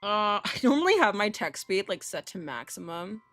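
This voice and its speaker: A woman livestreamer with a bright, forward voice talks casually and bluntly as she hosts a game playthrough. Her delivery is animated and irreverent, moving between chat and reading aloud. The sound is close and dry, with music behind her. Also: medium pitch, normal speed, no room sound. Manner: foul-mouthed, irreverent, blunt. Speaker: live streamer hosting a visual novel playthrough.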